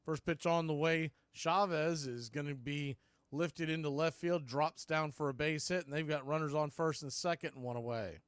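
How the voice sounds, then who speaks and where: A man calls baseball live for a radio-style stream. His voice sits a little high, with folksy, punchy delivery. The sound is close and dry, with no room around it. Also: normal speed, smooth, no room sound. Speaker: baseball play-by-play host. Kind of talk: live radio sports commentary.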